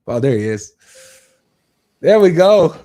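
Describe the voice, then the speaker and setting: A man's voice: on the high side, very animated, slow pace, dark tone. A man hosting an informal religious livestream discussion, speaking emphatically and repeating phrases for emphasis. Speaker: livestream host.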